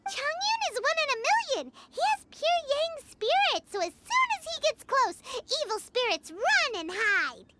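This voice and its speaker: A woman voicing a tiny, excitable, childlike fairy companion in an anime game, bubbly and squeaky. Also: unhurried, few pauses, very noisy, exaggerated voice. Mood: bragging. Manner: bubbly, talks in third person.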